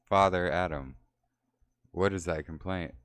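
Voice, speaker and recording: A man's voice: low-pitched, a little slow, fairly bright tone, no room sound. A man reading the lines of an earnest, devoted son in archaic speech.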